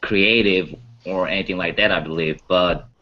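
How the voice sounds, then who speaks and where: A man's voice: deep voice, moderate pace, muffled recording. A man sharing his views in a casual discussion as a music show panelist.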